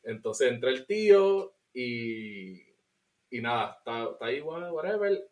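Animated Puerto Rican podcast host. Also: male voice, mid-range voice, measured pace. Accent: Puerto Rican Spanish. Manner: animated, laughs often, mixes in English. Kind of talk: casual chat, film review.

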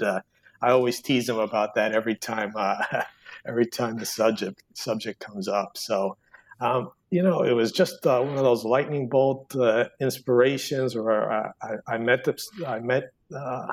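A man in his sixties from Cuba, a book author answering interview questions in a halting way with many fillers and restarts. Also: mid-range voice. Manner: hesitant, full of fillers and restarts. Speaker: guest author. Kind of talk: podcast interview.

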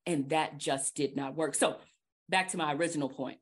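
A woman hosting a podcast, giving casual advice in an animated, emphatic way and repeating her key points. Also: sits low, moderate pace, breathy edge. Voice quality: trained projecting voice. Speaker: podcast host.

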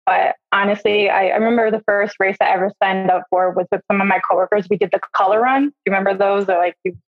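Woman, 30s, from the Midwest, podcast guest, casual storytelling, mid pitch, slightly dull audio. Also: fairly even intonation, fast talker, faint music behind. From US Midwest, Milwaukee. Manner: chatty, laughs often, lots of "like" and "um".

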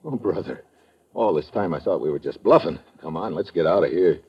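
A muffled old radio broadcast of a tough adventure hero. His husky voice sits low and swings widely, brusque and wry in the style of 1950s radio acting. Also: expressive, fairly quick. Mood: stunned.

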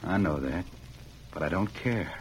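An actor playing a wronged young cowboy ex-convict in a vintage radio western, speaking plainly and earnestly. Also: male voice, deep voice, a little slow. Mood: bitter, earnest. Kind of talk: 1940s-50s radio western acting. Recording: muffled, hissy old radio recording.

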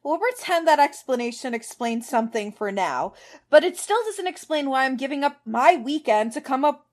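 Woman doing a fed up sarcastic guy from a story, high voice, swings around a lot, stressing words. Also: lively intonation, moderate pace, a little mellow. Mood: sarcastic complaint. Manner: sarcastic, emphatic. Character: sarcastic, exasperated man barely keeping calm.

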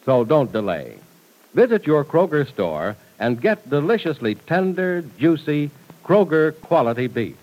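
A man's voice: on the high side, sing-song, a little slow, darkish tone, rough recording. Classic American radio announcer, male, emphatic and polished, with very varied intonation for scripted copy. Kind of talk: 1940s radio announcing, scripted sales read.

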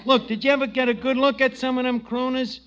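An actor playing a brash, slangy, scheming tavern manager in a 1940s radio comedy. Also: male voice, extremely high pitch, little pitch movement, fast talker, fairly bright tone, clear, resonant tone. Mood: scornful. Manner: slangy, ungrammatical, mangles words. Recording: dull vintage radio broadcast recording.

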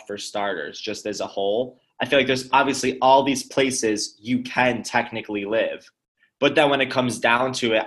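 A man from upstate New York hosting a casual conversation, relaxed and asking questions. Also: medium pitch.

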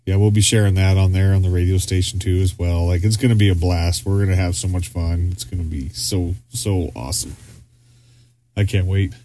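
A man's voice: low-pitched, level tone, brisk, mellow, a little hiss or hum. A man co-hosting a podcast in casual chat, rambling with lots of fillers and restarts. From Arizona, US. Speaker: podcast co-host.

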